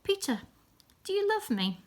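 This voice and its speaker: Kindly storybook Jesus, played by a woman, higher pitched, simple and inviting, speaking to friends. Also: very animated, normal speed. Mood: searching.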